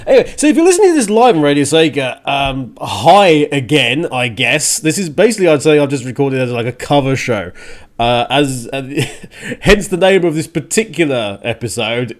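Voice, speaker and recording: A man hosting a music radio show and podcast solo, talking casually in a halting, rambling, conversational way with a bit of a rant to it. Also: mid-range voice, lively intonation. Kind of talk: casual solo podcast talk, partly ranting.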